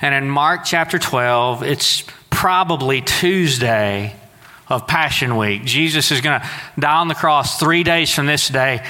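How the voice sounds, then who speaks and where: A man's voice: mid-range voice, animated, measured pace, pushed and bright. A man in his forties from Southeast Texas, preaching a sermon to his church in a folksy, conversational way. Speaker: pastor. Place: church sanctuary. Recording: long reverb of a church sanctuary.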